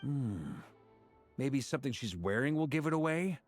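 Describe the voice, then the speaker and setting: Man playing a laid-back knight, bantering, lively intonation, video game dialogue, soft music behind. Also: medium pitch, normal speed, lightly acted. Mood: amused. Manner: casual, jovial, bantering. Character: easygoing, genial grown male knight, joking and self-assured.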